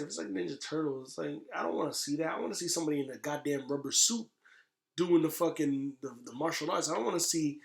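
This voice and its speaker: The echo of the room surrounds a man with a somewhat high voice. He chats casually as a podcast guest, rambling and slangy, and leans emphatically on his points. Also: vocal fry, fairly bright tone. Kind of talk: casual chat. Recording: echoey room.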